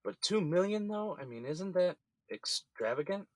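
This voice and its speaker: A man's voice: high-pitched, animated, moderate pace, no echo. An actor playing a weary, blunt middle-aged businessman held in jail, in a naturalistic audio drama. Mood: indignant. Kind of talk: naturalistic scripted drama dialogue.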